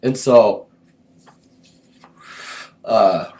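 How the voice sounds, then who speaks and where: A man's voice: fairly low pitch, extremely slow, darkish tone. A man hosting a show, casually recounting his own past in a laid-back, meandering way, thinking aloud as he goes. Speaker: host recounting his music career. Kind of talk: casual personal storytelling.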